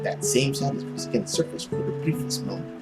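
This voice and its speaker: A man's voice: medium pitch, fairly quick. A man hosting a let's play of a visual novel, narrating and reacting expressively. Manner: expressive, swearing freely. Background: music with plucked strings.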